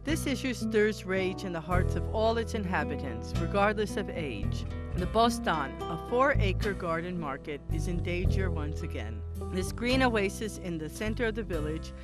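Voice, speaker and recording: A woman's voice: fairly low pitch, expressive, normal speed, few pauses. A woman reading scripted documentary narration as the narrator. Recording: prominent music under the voice. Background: plucked guitar music.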